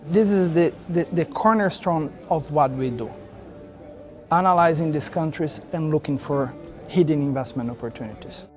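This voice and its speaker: A man's voice: on the high side, moderate pace. A man stating his team's investment views in a corporate interview, earnest and stressing his convictions. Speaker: emerging market debt investor. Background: faint music.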